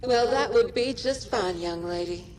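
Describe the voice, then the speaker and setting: A woman's voice: on the high side, unhurried, darkish tone, a little hiss or hum, lightly acted, rough recording. A woman playing a chirpy, eager-to-please station-attendant machine in a video game, with folksy, sing-song hostess patter. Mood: cheery, welcoming.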